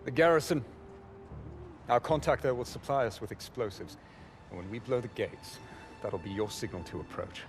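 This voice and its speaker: An actor playing a cool, dry-witted British special forces agent giving a briefing in a war game. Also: male voice, medium pitch, normal speed, faint music behind, lightly acted. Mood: hushed, intent.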